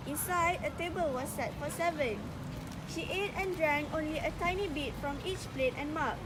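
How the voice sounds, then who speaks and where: A woman narrating a storybook tale from a script in an amateur drama. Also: sits high. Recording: noisy background, outdoor-sounding.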